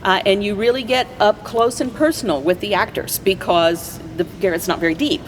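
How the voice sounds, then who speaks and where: A woman guest in a conversational interview, explaining things agreeably in halting phrases. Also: medium pitch. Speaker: community theater player, interview guest. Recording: faint noise and background ambience.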